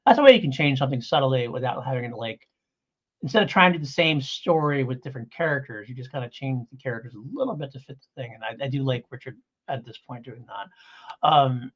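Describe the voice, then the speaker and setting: Male podcast co-host, very lively intonation, slightly husky, rambling and keen, on a somewhat dull lo-fi recording. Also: mid-range voice, fairly quick. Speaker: podcast co-host reviewing audio dramas. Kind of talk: casual review chat.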